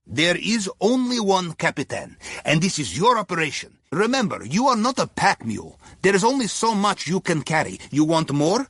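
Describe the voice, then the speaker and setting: An actor playing a wry, courteous Russian submarine pilot, advising on missions in a video game with a Russian accent and clipped grammar. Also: male voice, high voice, fairly bright tone, breathy edge, dry recording, clean studio sound, heavily acted. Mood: wry, instructive. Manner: wry, deferential, clipped grammar with dropped articles. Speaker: mission handler on comms. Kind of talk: scripted video game dialogue.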